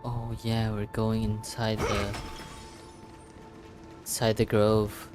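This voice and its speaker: Guy game streamer, slangy n halting, takes his time, faint music behind. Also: on the low side, completely flat delivery. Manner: slangy, halting, repeating himself. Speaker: game livestreamer. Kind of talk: casual live gameplay commentary.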